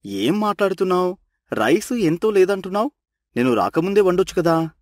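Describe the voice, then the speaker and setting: A grumbling husband in a cartoon family tale, voiced by a man. He is blunt, teasing and quick to complain. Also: high-pitched, very animated. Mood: irritated.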